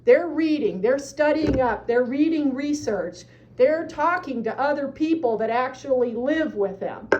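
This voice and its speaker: Woman, high mellow voice, persuasive public-comment speaker, faint hiss behind. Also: animated, measured pace, dull audio. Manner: earnest, persuasive advocacy. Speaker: citizen addressing county supervisors. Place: county meeting room.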